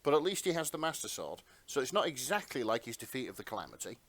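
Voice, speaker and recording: A man's voice: mid-range voice, very animated, quick pace, fairly bright tone, no echo. A man narrating a story in a dramatic reading style for an amateur fan fiction performance. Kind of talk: dramatic narration and casual banter.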